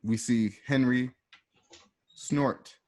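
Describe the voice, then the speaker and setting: Male radio drama narrator, mid pitch. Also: fairly even intonation, very slow, a little mellow. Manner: present-tense, step-by-step storytelling. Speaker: narrator reading stage directions.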